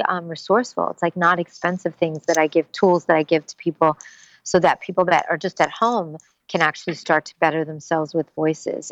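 A woman's voice: fairly low pitch, a bit bright, no room sound, dull audio. A middle-aged woman voice actress, chatting animatedly in an interview and slipping into little character voices. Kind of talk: casual interview talk.

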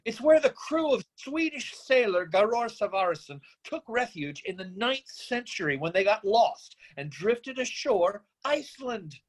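A man acting a middle-aged, free-spirited father in a staged screenplay reading, earnest, effusive and persuasive, with halting phrases. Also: sits high, sing-song, full character voice, poor audio. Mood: eager, persuasive.

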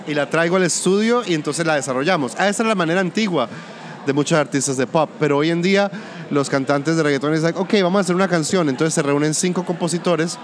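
A man from Colombia with a Colombian-Venezuelan border accent, a music producer talking through his work in a relaxed interview, pausing and restarting his sentences. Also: a little high. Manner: thoughtful, restarts often. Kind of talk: casual interview conversation.

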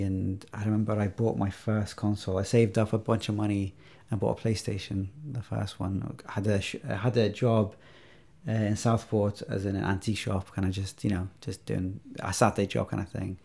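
Podcast guest, man in his thirties from Iraq, warm gravelly low voice, thoughtful and hesitant. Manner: reflective, many fillers and restarts. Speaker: podcast guest, video game maker. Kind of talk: casual interview chat.

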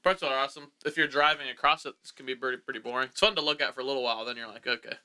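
Bright-voiced teasing male podcast host, smooth. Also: medium pitch, fairly even intonation, rapid-fire. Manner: playful, teasing interviewer. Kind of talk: casual chat.

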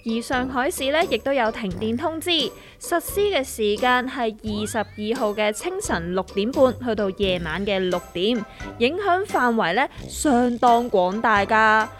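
A woman's voice: on the high side, expressive, unhurried. A woman playing a plain-spoken, knowing explainer in a comedic radio drama, chatty and with a Hong Kong Cantonese accent. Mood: matter-of-fact. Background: music.

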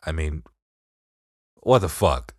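A man's voice: extremely low pitch, animated, slow pace, a little mellow, no room sound, studio quality. A man from Detroit with a Detroit accent, co-hosting a casual podcast chat in a laid-back, profane way. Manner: laid-back, swears, repeats "bro". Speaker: podcast co-host.

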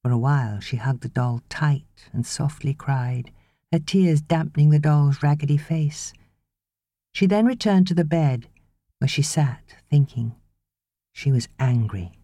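A woman narrating an audiobook novel in an expressive, measured storytelling manner. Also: deep voice, dry recording.

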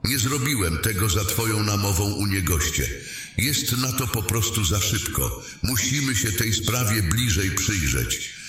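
Fantasy king, male actor: lowish voice, composed, formal authority, heard in a big echoing hall. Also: expressive, unhurried, a bit bright, a little hiss or hum. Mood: firm. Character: measured, dignified male king presiding over council. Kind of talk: fantasy audio drama acting. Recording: very echoey hall sound.

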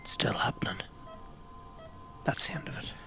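A man's voice: bright, forceful tone, soft background music, lightly acted. Man acting a whispering, pained Irish fire officer. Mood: pained, withdrawn. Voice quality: breathy, whispery, creaky. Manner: halting, terse replies. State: weak and in pain, coughing. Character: injured, tormented fire officer, bitter, withdrawn male hospital patient. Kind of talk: radio drama acting.

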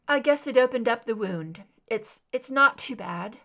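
A woman's voice: a little high, expressive, measured pace, a bit bright. A woman reading a weary, proud female agent in an audiobook, clipped, guarded and defensive. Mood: strained, tired. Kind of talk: dramatic audiobook character dialogue.

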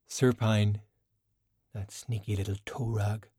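A man's voice: lowish voice, level tone, slow pace, warm tone, breathy edge, no room sound, clean studio sound, exaggerated voice. A man voicing a casual, blunt, wry young Irish woman in an audiobook. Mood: matter-of-fact.

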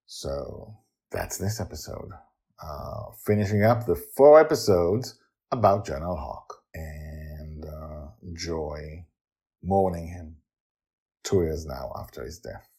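A man's voice: a bass voice, slow pace, darkish tone. A man hosting a podcast and narrating stories in a dramatic, emphatic way. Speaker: podcast host and storyteller. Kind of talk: expressive storytelling and podcast narration.

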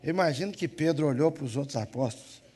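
A man from Minas Gerais preaching with a Mineiro accent and a voice pitched a touch high. He jokes with the congregation and swings from loud, emphatic lines to soft, quiet asides. Delivered as a live church sermon. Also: fast, a crowd in the background. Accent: Minas Gerais Brazilian Portuguese. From Minas Gerais, Brazil. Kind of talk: lively humorous sermon.

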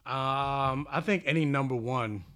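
A man co-hosting a casual podcast, chatting in a relaxed, offhand way. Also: mid-range voice, a little slow. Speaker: podcast co-host.

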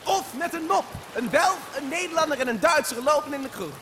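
Court jester, very high and shrill, frantic, eager to please, theatrical swoops, comic radio play. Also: male voice, expressive, fairly quick, pushed and bright, slight background noise, exaggerated voice. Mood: eager, showy. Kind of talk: comedic audio drama acting.

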